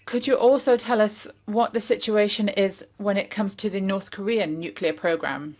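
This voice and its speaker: A woman whose first language is French chairs a parliamentary hearing in English, formal and polite with the odd filler pause. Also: mid-range voice, fairly even intonation, a little mellow. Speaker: committee chair.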